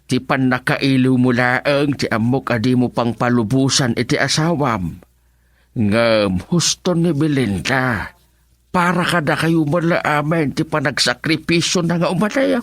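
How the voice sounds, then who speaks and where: A man's voice: medium pitch, measured pace, fairly bright tone, no echo, close to an everyday voice. A man with an Ilocano accent playing an elderly father who reasons with his grown son in an emphatic, admonishing radio drama style.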